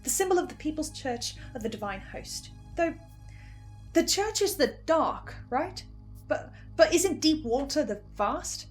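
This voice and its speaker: A woman host giving an informal fan recap and theory commentary, with animated asides that interrupt her own train of thought. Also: high-pitched, measured pace, soft background music. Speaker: host, first-time listener reviewer.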